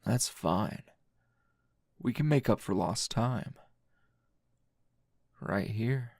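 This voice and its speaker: A man playing a weary, teasing male teacher hero in an intimate ASMR roleplay, with a low gravelly voice and lingering pauses. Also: lively intonation, a little mellow, no room sound, studio quality, exaggerated voice. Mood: teasing, seductive. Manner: slow, breathy murmuring with sighs and long pauses.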